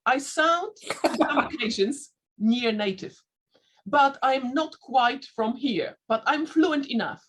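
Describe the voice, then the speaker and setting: A woman who learned English as a second language, speaking with a slight Dutch-sounding accent as a panelist in an online discussion, pausing and restarting as she looks for words. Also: sits high, expressive, a bit bright. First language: not English. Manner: thoughtful, searching for words with frequent restarts.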